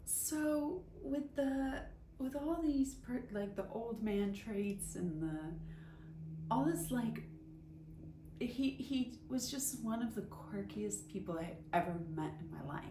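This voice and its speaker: Woman in her 40s telling a personal story, candid n chatty, soft dark tone, echoey room w a little noise. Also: mid-range voice. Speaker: storyteller, vlogger. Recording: roomy echo, faint background noise.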